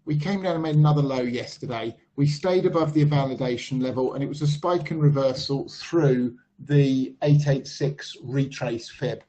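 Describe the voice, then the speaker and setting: A man at mid pitch walks through price charts in an unhurried, thinking-aloud way, filling gaps with ums. His voice is getting hoarse. The recording sounds slightly dull and lo-fi. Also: dark tone. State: losing his voice. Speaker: market analyst. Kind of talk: screen-share chart commentary.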